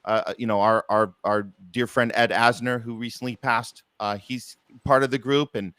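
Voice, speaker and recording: A man's voice: mid-range voice, steady tone, moderate pace, a bit bright, clean tone, dry recording. Male host, conversational and effusive, wanders through his points, dry recording. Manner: effusive, appreciative, rambling. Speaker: podcast host, theater producer. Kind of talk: casual interview chat.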